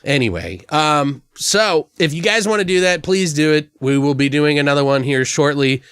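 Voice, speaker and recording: A man hosting a comedy podcast, bantering casually and profanely in an animated, giggly way as he improvises. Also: on the high side, normal speed, smooth, no echo. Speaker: podcast host.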